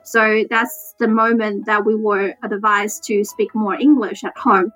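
A woman's voice: medium pitch, steady tone, unhurried, a bit bright, soft background music, poor audio. A woman from China, a Mandarin speaker, giving an earnest personal account as a parent in English. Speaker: interviewed parent.

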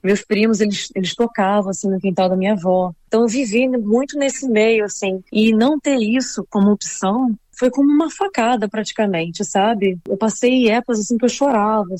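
A woman from Brazil speaks as an interview guest, reflective and emotional, leaning on small fillers as she thinks. Her voice sits at an ordinary middle pitch. The recording is dry and close, with no room sound. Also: brisk, hardly any pauses, darkish tone, no room sound. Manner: reflective, emotional, many fillers like "assim" and "sabe". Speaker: interviewee, returning immigrant. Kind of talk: casual conversational interview.

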